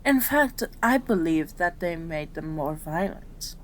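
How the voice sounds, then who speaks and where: A woman voicing a gentle, patient female kitsune doctor in an ASMR roleplay, with a soothing, polite, measured manner. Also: on the low side, lively intonation. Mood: reluctant, somber.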